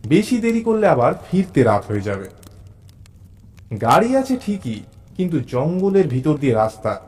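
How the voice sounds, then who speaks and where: A man narrating a first-person suspense story in an expressive, dramatic way, with deliberate pauses. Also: a little high.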